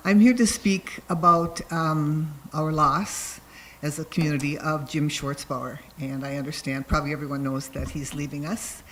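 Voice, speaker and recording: A soft-spoken man making a public comment at a city council meeting. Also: fairly high pitch, a little hiss or hum, low-quality recording. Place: council chamber.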